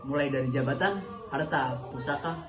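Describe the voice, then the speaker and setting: A young man from South Sumatra, presenting a class lesson as a student narrator, reading explanations in an earnest, steady way. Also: mid-range voice, normal speed, echoey room, music in the background. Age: young adult.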